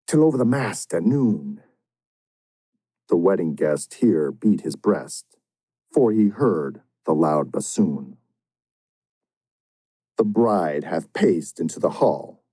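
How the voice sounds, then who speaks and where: A man giving a dramatic reading of a long narrative poem with a measured, theatrical delivery. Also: low voice, warm tone, dry recording. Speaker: reader.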